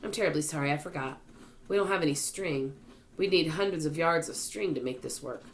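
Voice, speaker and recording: A woman voicing an earnest, thoughtful young boy in a storybook, in a measured manner. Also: sits low, slight background noise. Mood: hesitant.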